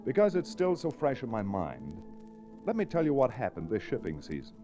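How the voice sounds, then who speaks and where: A man's voice: mid-range voice, wildly swooping pitch, normal speed, mellow, soft background music. An actor playing a folksy, wry cowtown lawyer and cattleman in a 1940s radio western. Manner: folksy, wry, self-deprecating. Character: easygoing frontier cowtown lawyer and cattleman hero. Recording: restored old radio recording.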